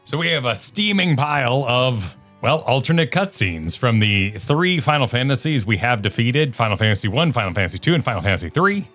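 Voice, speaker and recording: A man's voice: mid-range voice, big pitch swings, fairly quick, hardly any pauses, a bit bright, faint music behind. A man co-hosting a video game show, bantering casually with dry, playful quips.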